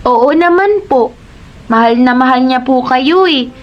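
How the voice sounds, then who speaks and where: Woman acting high-voiced innocent little boy. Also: expressive, unhurried, highs cut off, soft background music. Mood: pleading, wistful. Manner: childlike, polite, pleading. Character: young boy Liam, innocent, polite, hurt child. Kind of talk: Tagalog radio drama acting.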